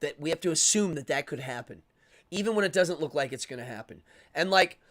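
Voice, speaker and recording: A man hosting a live stream, talking casually and opinionatedly as he answers chat, rambling and repeating words in quick bursts. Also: a little high, expressive, fairly bright tone. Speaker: live-stream show host.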